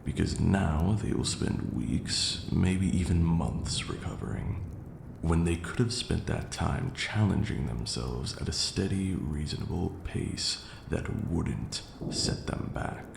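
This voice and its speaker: A man playing a stern, aloof vampire lord in an ASMR roleplay, speaking in a formal, haughty way with a gravelly, breathy near-whisper. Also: extremely low pitch, lively intonation, full character voice. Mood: stern, scolding. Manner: formal, haughty, slow scolding lecturing delivery. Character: stern aloof ancient male vampire lord, grudgingly caring. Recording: roomy echo, clear background noise.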